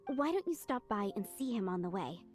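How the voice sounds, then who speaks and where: A woman's voice: medium pitch, sing-song, brisk, darkish tone, lightly acted. A woman playing a polite, earnest townswoman in an anime video game. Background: music.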